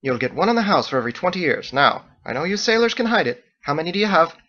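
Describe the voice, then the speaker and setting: A man voicing a lively, delighted woman innkeeper in an audiobook story, with folksy, exclamatory chatter. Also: a little high, quick pace, highs cut off, pitched above the performer's own voice. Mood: cheerful.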